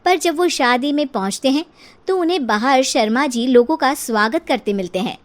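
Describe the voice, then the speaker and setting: A woman narrator reading a scripted comic story for an animated video. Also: high voice, moderate pace.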